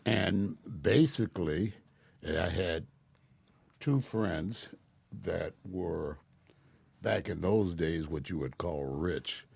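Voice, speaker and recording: A man in his eighties, a podcast guest telling stories about his life in a soft-spoken, wry, self-mocking way. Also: low voice, takes it slowly, creaky, pauses often, breathy edge, no room sound. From Delray. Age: 80s. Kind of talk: casual storytelling interview.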